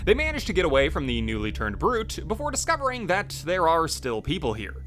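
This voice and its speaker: A man's voice: fairly high pitch, huge pitch swings, fairly quick, a little hiss or hum. A man giving scripted narration as a video host. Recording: music playing behind the voice. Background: loud music.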